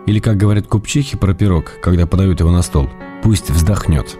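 An actor voicing an affectionate writer in a radio drama, reading his letters in a measured, dryly humorous way. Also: sits low, music in the background, lightly acted. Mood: wry. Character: wry affectionate writer writing to his actress wife. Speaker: letter reader.

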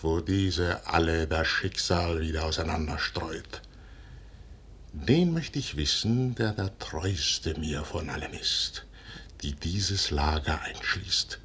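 An actor in a 1950s German radio drama playing a proud, stubborn, visionary general, husky and solemnly declamatory in verse. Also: male voice, low voice, lively intonation, slow pace, fairly bright tone, full character voice.

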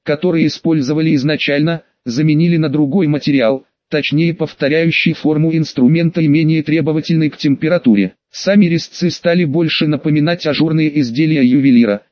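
A man's voice: on the high side, fairly even intonation, brisk, few pauses, no room sound, highs cut off. A man reading a novel aloud as an audiobook narrator, in scripted storytelling style. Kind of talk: scripted fiction narration with character dialogue.

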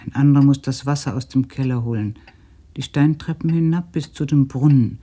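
Male actor as subdued village narrator, very mellow dark timbre, mid pitch, matter-of-fact, literary radio play. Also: brisk, natural voice. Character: sober, subdued village man describing daily household chores.

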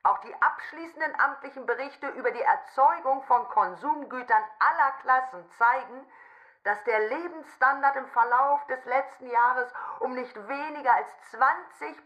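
An actress as high-voiced regime propaganda announcer. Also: female voice, moderate pace, lo-fi sound, close to an everyday voice. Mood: triumphant. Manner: formal, proclaiming. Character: telescreen announcer woman, cheerful regime propagandist. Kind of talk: propaganda news bulletin in a radio drama.

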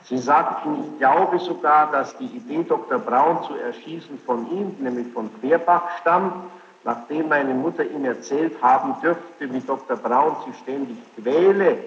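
A man formally questioning a witness as a presiding judge, speaking in a halting, deliberate way on an old courtroom tape. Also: mid-range voice. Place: large echoing courtroom. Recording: echoey hall, dull noisy archive tape.

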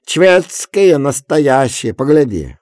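A man voicing a drunk, quarrelsome peasant with a high, screeching voice who yells and boasts defensively. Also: big pitch swings, slow pace, no room sound, studio quality. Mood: drunkenly boastful.

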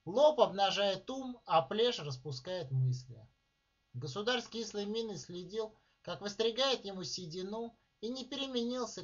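A man reading his own short story aloud in a measured, deliberate way, with dramatic pauses, at a live reading. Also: sits high. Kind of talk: literary reading of prose. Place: live reading at a writers' club. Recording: dull lo-fi recording.

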